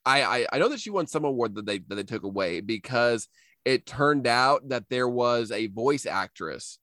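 A man's voice: medium pitch, expressive, normal speed, fairly bright tone, no echo, clean studio sound. A man co-hosting a podcast in loose, wry, joking conversation. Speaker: podcast co-host. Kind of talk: casual joking podcast banter.

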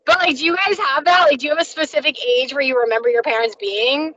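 A woman in her forties co-hosting a casual podcast, chatty and animated, with "like" sprinkled through every sentence. Her voice sits high. The recording is dull and lo-fi. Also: quick pace. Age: 40s. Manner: chatty, animated, says "like" a lot. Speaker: podcast co-host. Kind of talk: casual chat. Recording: dull lo-fi sound.

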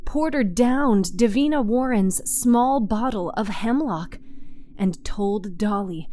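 A woman narrating a scripted story in a measured, dramatic manner. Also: medium pitch, warm tone.

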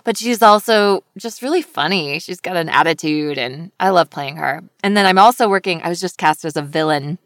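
Chatty woman with a low voice. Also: normal speed, few pauses, no room sound, studio quality. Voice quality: lower voice for a woman. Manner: animated, chatty, laughs often. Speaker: voice actor. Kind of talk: casual conversation.

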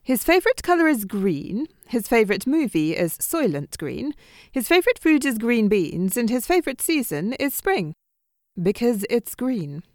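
A woman's voice: on the high side, big pitch swings, moderate pace, darkish tone, no echo, clean studio sound. A woman playing a perky young contestant in an audio drama, bantering with a sarcastic edge.

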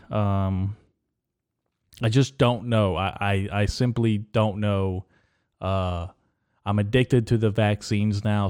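Low smooth-voiced man rambling deadpan. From Mexico. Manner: absurd dark jokes delivered straight, frequent ums. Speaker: podcast host. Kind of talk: rambling deadpan comedic monologue. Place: his bedroom.

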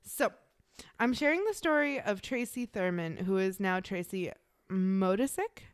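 A young woman from the Midwest, co-hosting a podcast in casual, giggly chat with quick asides. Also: medium pitch, animated, smooth, no echo. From American Midwest. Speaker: podcast co-host.

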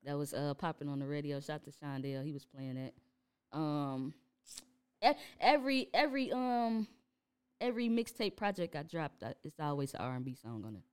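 A young woman with a Chicago accent, a rapper talking casually in an interview, relaxed and laughing often. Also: sits low, normal speed, smooth. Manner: laid-back, laughs often, many fillers. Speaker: rapper guest.

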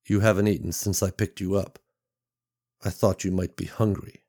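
A man voicing a calm, low-voiced stranger. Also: moderate pace, close to an everyday voice. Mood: flat. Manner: level, low, flat delivery. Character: calm, withdrawn adult male stranger.

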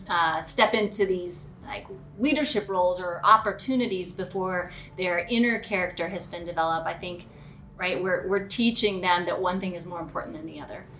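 A woman's voice: lowish voice, a bit bright. A woman speaking as an educator, giving a spontaneous, explanatory interview answer as she thinks aloud. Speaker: educator giving advice to parents. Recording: clear room noise and ambience.

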